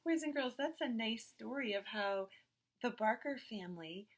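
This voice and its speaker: A woman teacher reading a picture book aloud to young children in expressive, simple phrases. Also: on the high side, normal speed.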